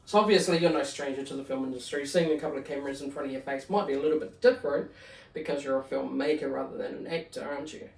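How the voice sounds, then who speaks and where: A man's voice: mid-range voice, fast talker, gravelly, a little mellow, roomy sound, recorded from a distance, low-quality recording. A man with a New Zealand accent asking interview questions in a loose, chatty way. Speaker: interviewer, host.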